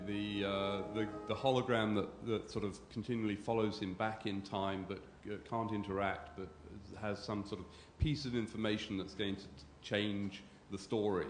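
Deep-voiced man commenting in an echoey hall. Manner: halting, searching for words, restarts often. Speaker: audience member. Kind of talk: question-time comment. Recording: echoey hall, faint room noise.

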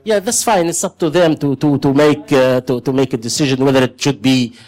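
Man in a meeting, mid-range voice, measured with hesitant starts. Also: mid-range voice, darkish tone. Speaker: meeting participant. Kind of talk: group discussion.

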